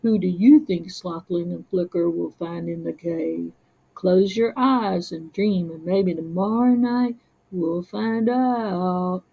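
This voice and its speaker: A woman voicing a gentle, wise sloth father who soothingly tells bedtime storybook tales. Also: lowish voice, slow pace, gravelly, breathy edge, phone-quality sound, heavily acted.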